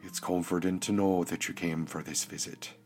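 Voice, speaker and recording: Frail, gentle old healer male performer, low sighing delivery, storybook tale over music. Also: fairly even intonation, moderate pace. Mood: tired, accepting. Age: older. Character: kind, weary, frail old man, hermit healer. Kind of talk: storybook character acting.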